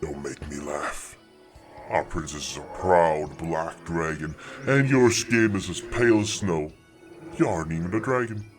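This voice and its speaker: A man's voice: low voice, unhurried, soft background music. A man voicing a huge old dragon guard in a fantasy audio drama, haughty and suspicious, with grandiose, threatening, archaic speech. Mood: indignant, scornful. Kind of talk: fantasy audio roleplay acting.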